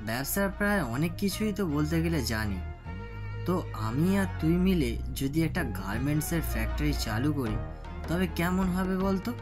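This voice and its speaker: A man's voice: fairly high pitch, expressive, moderate pace, close to an everyday voice. Man acting an earnest cartoon businessman over music. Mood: eager, friendly. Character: earnest, hardworking male business partner, wronged by his friend. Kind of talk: cartoon story dialogue. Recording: music under the voice.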